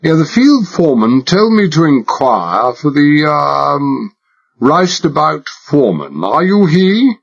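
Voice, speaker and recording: A man's voice: fairly high pitch, sing-song, slow pace, gravelly, breathy edge, pitched above the performer's own voice, exaggerated voice. A man putting on a plummy English toff. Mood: affected. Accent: exaggerated upper-class English. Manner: fussy, chattering, mock-polite. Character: male undercover agent faking a posh English secretary. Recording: dull lo-fi audiobook recording.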